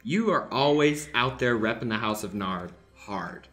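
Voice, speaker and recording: A man's voice: mid-range voice, lively intonation, unhurried, fairly bright tone. A man playing a pompous, bullying elder-brother mystic who preaches emphatically in an improvised comic radio play. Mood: proud. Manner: preachy, emphatic, self-important. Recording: music under the voice.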